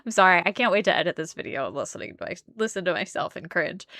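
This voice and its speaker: A woman's voice: on the low side, animated, quick pace, a bit bright, dry recording, clean studio sound. A woman hosting a let's play with casual, chatty, self-deprecating commentary as she plays.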